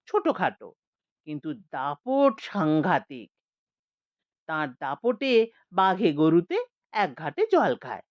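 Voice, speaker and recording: A woman narrating a story aloud with a very expressive manner. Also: dry recording, lo-fi sound. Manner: very expressive, wide-swinging pitch. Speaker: audiobook narrator.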